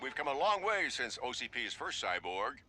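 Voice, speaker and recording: A man's voice: medium pitch, very animated, moderate pace, over music, lightly acted. A man playing a dramatic corporate ad narrator. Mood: grand. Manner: dramatic, declaiming advertising narration. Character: grand male corporate ad narrator, solemn and persuasive.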